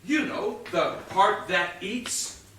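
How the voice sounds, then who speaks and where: A man playing a bossy, sardonic and slow-witted police inspector in a comic stage play, exasperated and halting, with heavy emphasis. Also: high voice, lively intonation, sharp tone, full character voice. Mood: sceptical, sarcastic. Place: theatre stage, picked up from a distance. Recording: distant echoey theatre stage recording, faint noise.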